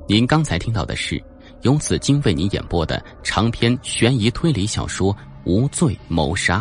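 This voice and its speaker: A man's voice: on the low side, sing-song, moderate pace, faint music behind. A man narrating a suspense novel in a scripted, dramatic audiobook style.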